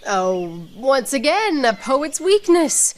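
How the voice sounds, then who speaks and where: A woman's voice: high-pitched, sing-song, slow pace, full character voice. A woman playing a proud, witty soldier-poet with a wry, poetic way of speaking, in radio theatre. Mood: wistful, poetic.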